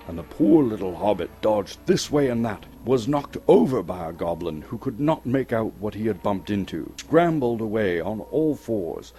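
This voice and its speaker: A man from Canada giving a dramatic, suspenseful storybook narration with theatrical, deliberate phrasing. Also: on the low side, dark tone. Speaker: actor reading a story aloud. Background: music.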